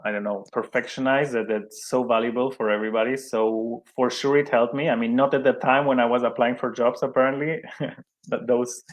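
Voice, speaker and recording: A young man, a non-native English speaker, giving an interview at a middle pitch. He hesitates often, doubles back on his words, and laughs lightly at himself. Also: fairly even intonation, a little fast. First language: not English. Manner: hesitant, many fillers and restarts, laughs at himself. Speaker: interview guest, sports event manager. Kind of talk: conversational interview.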